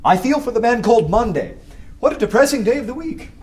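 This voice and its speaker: An actor playing a bold undercover detective in a radio drama, sardonic and animated. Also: male voice, very high-pitched, fast. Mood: amused. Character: wry, bold undercover detective posing as a poet. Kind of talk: full-cast radio drama acting.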